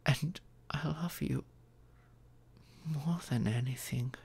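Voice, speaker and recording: A performer voicing a caring, earnest young romantic partner in an intimate ASMR roleplay, with deliberate pauses. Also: mid-range voice, vocal fry, a little mellow, husky, full character voice. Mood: hurt, tender.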